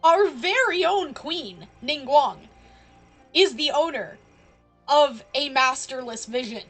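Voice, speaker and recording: A woman's voice: high voice, slow pace, a bit bright, rough recording. A woman streaming a game live, casually answering the characters in a playful, emphatic way. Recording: game music behind.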